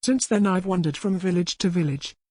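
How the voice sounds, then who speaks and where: A woman's voice: fairly low pitch, a little fast, mellow, no echo, studio quality, close to an everyday voice. A woman voicing a wronged, defiant old healer woman in an audiobook historical tale.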